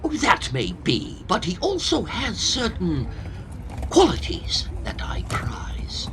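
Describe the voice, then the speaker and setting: Pompous professor, wildly swooping high voice. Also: male voice, wildly swooping pitch, slow, fairly bright tone, a little hiss or hum, full character voice. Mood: defensive, lofty. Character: eccentric, self-justifying professor and antiquities collector, husband. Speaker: actor in an audio farce. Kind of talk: comic period radio-play acting.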